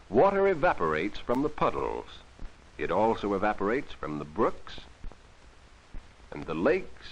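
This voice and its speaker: A man's voice: lowish voice, low-quality recording. A man narrating a vintage 1940s educational film for children, explaining things simply and instructively on an old film soundtrack.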